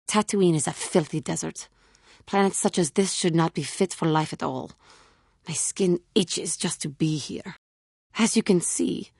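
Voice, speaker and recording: A woman voicing an earnest, troubled young female Jedi warrior in a video game, speaking formally and with measured care in a husky voice. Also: on the low side, darkish tone, dry recording, studio quality. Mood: disdainful. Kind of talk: scripted game character dialogue.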